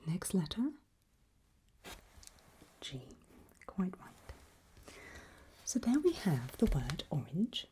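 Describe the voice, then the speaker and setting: A woman whispers and softly murmurs as a calm doctor in an ASMR roleplay. Her pace is very slow, with a gently rising and falling delivery that stays encouraging and breathy. There is slight noise behind her. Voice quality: breathy whisper mixed with very soft low speech. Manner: gentle, unhurried, encouraging, patient. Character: calm, reassuring doctor running a creepy memory test. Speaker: ASMR performer playing a doctor giving a memory test.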